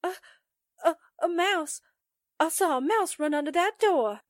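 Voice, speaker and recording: Woman playing a high-voiced, jittery sidekick. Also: expressive, measured pace, no echo, clean studio sound. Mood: nervous. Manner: nervous, faltering, plaintive. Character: jittery, timid young female newspaper sidekick, easily spooked. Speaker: actor in a radio drama. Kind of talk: 1940s radio drama acting.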